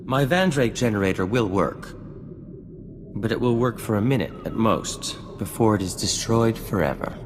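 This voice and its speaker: An actor playing a vain, refined scientist-inventor in a video game cutscene, speaking in a formal, theatrical and florid way. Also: male voice, on the low side, animated, normal speed, close to an everyday voice. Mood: pleased, courteous. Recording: long reverb, music underneath.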